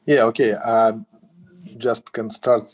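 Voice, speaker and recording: Husky-voiced man on a video call. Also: fairly low pitch, little pitch movement, takes it slowly, a little mellow. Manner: slightly broken English, friendly joking. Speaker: meetup participant. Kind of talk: casual call chat.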